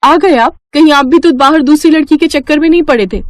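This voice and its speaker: A woman's voice: sits high, a little fast, no room sound, studio quality, pitched above the performer's own voice, lightly acted. A woman voicing a naive young bride in a cartoon, earnest and flustered. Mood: exasperated. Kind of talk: cartoon dubbing.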